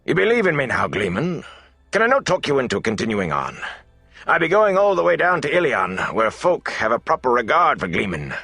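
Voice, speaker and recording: A man acting a loud, high-pitched blustering ship's captain. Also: wildly swooping pitch, fairly quick, creaky, husky, dry recording, faint music behind, heavily acted. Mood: hearty, persuading. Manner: blustering, forceful, with rustic sailor grammar. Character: gruff, roaring, commanding male riverboat captain.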